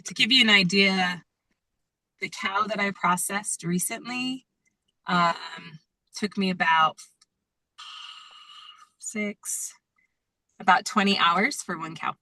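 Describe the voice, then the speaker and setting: A woman's voice: medium pitch, takes it slowly, long pauses, pushed and bright, no room sound, low-quality recording. A woman teaching and leading a group call in a casual, chatty, conversational way.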